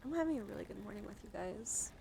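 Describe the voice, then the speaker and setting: A woman with a noticeably creaky, mid-pitched voice murmurs soothing ASMR to her livestream viewers. She moves between whispers and soft speech in an affectionate, intimate way. Clear background noise sits behind her voice. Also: expressive, fast. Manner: affectionate toward listeners. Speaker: live streamer hosting ASMR.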